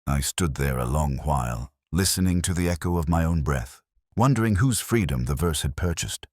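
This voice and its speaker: A man playing a world-weary, erudite police inspector, narrating a mystery in brooding, literary, measured phrases. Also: very deep voice, lively intonation, a little mellow, dry recording, studio quality. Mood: brooding, reflective. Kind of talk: scripted first-person mystery narration.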